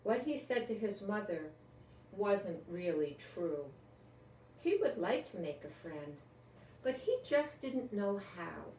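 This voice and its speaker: Older female storyteller for children's book read-aloud; slow, deliberate, expressive emphasis; echoey, distant sound. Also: lowish voice, slight background noise. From Rhode Island, United States. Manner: deliberate, expressive, with repeated emphasis on key words. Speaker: grandmother storyteller. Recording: roomy, echoey, distant from the mic.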